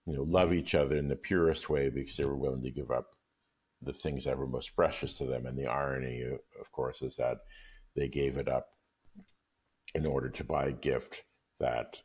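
A man speaking as an expressive story reader, in a literary storytelling style. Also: very deep voice, normal speed, dull audio.

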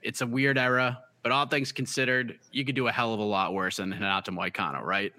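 A man's voice: medium pitch, fairly even intonation, fairly quick, sharp tone, no room sound. A man with a Boston accent hosting a casual fight-prediction chat, confident and teasing. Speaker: podcast host.

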